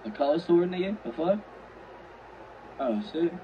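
A man's voice: fairly high pitch, animated, a little slow, highs cut off. A man bantering casually in an online roleplay game, brash and slangy, swearing constantly. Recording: noisy game audio background.

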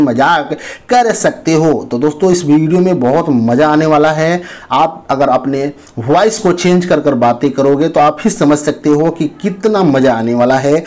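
Male tutorial host, slightly high voice, informal instructional narration for online viewers. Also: a little mellow. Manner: instructional, addresses viewers as friends.

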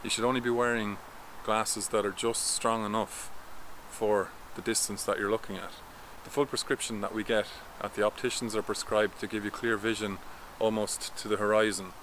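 A man's voice: fairly low pitch, moderate pace, few pauses, slight background noise, rough recording. A man in his thirties, a vision coach, explaining things step by step in a talking-head vlog recorded outdoors in a garden with a camera at arm's length. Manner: steady, instructive, explaining step by step.

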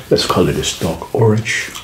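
A man whispering a story softly for an ASMR video, with gum-chewing clicks behind him. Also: on the low side, expressive. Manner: soft whispering, slow and deliberate. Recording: echoey room with background noise.

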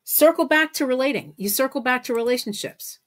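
A woman's voice: high voice, a little fast. A middle-aged woman giving an unscripted solo podcast monologue as a spiritual guide, emphatic and repeating phrases for stress.

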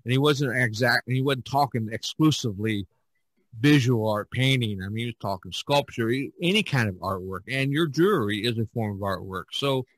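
A man hosting a casual podcast discussion, talking haltingly and often restarting his phrases. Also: medium pitch, a bit bright, dry recording, low-quality recording. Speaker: podcast host.

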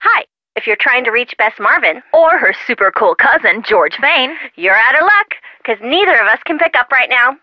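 A woman's voice: on the high side, sing-song, normal speed, few pauses, no room sound, muffled recording. An actress voicing a bubbly, playful young woman's answering machine greeting in a video game, heard over a telephone. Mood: chirpy. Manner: chirpy, playful.

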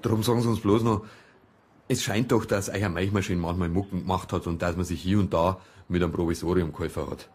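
An actor playing a methodical, probing police detective with a Bavarian accent in a radio crime drama. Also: male voice, sits low, fairly even intonation, brisk, darkish tone, husky.

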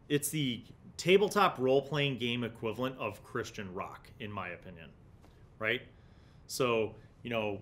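A middle-aged man gives casual, conversational commentary as host of a gaming review series, thinking aloud. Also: a bit bright. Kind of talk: casual commentary while leafing through a magazine, reading bits aloud. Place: small indoor home studio. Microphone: desk condenser microphone in front of him. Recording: clear low background rumble noise.

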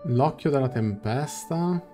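A young man streaming a game, translating dialogue aloud in a halting, casual reaction. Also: mid-range voice, animated, warm tone, faint music behind. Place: small room indoors, on webcam.

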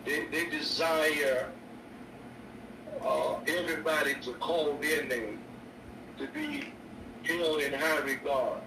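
An older man, a bishop preaching a sermon on his radio show, slow and repetitive with stammered restarts. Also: on the high side, animated. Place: indoor room. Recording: echoey distant mic with noise.

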